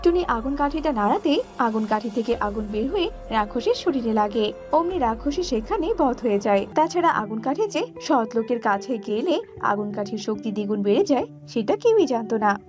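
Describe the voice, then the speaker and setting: High-pitched woman narrating a children's fairy tale. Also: lively intonation, moderate pace. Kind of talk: scripted children's story narration. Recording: slightly dull audio with background music.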